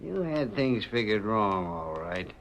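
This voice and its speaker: An actor playing a surly, threatening outlaw henchman with gruff frontier speech in a 1930s Western radio drama. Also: fairly low pitch, sing-song, measured pace, a bit bright, exaggerated voice. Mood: menacing. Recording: hissy vintage 1930s radio recording.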